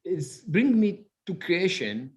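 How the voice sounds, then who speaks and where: A middle-aged rabbi and author from Ethiopia, speaking with an Ethiopian accent, tells his life story in an interview, haltingly and with many fillers as he searches for words. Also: high-pitched, big pitch swings.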